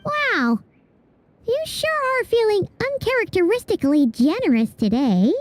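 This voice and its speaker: Squeaky, very high tiny fairy companion. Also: female voice, sing-song, measured pace, heavily acted. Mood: skeptical, teasing. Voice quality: squeaky, childlike. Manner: blunt, skeptical, pert. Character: tiny cheeky blunt fairy-like companion, childlike female. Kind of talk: video game character acting. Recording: music under the voice.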